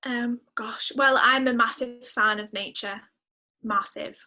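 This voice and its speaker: High, bright-voiced young-sounding woman chatting. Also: measured pace. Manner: chatty, expressive, exclaiming. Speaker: podcast guest, musician. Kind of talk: casual interview chat.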